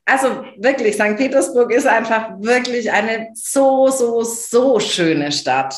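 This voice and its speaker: Lively German woman in an echoey room. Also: a little high, takes it slowly. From Bavaria, Germany. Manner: enthusiastic, emphatic, many fillers and restarts. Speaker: podcast guest. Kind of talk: casual interview chat.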